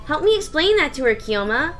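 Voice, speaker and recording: Woman voicing a bright, squeaky, bratty schoolgirl. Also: big pitch swings, brisk, faint music behind. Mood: indignant. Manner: blunt, huffy, snappish. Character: bratty, prickly, combative little high-school girl. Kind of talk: visual novel voice-over read aloud.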